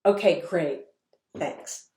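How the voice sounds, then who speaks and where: Slow, expressive woman reading aloud. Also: deep voice, slight background noise. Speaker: author reading her book aloud. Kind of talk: children's read-aloud. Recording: roomy echo.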